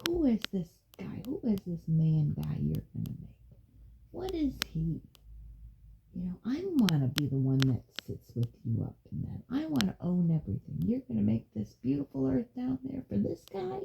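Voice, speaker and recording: A woman's voice: mid-range voice, big pitch swings, normal speed, vocal fry, noisy background, voice pushed up. A woman voicing a jealous, proud male angel who complains in a petulant, rising voice. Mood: jealous whining. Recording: dull lo-fi recording.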